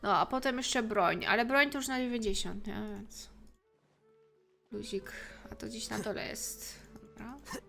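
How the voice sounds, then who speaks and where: Female Polish game streamer: bright, higher register, casual off-the-cuff reactions, faint music. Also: moderate pace, vocal fry. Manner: informal, reacting aloud to the story. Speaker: livestreamer playing a game. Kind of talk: casual gaming stream chat.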